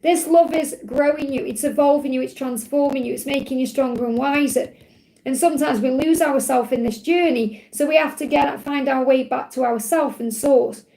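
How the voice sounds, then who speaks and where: A woman hosting a live spiritual card reading, her voice croaky from overwork, reassuring and repeating her key phrases. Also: high voice, normal speed, warm tone, poor audio. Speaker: spiritual card reader, livestream host.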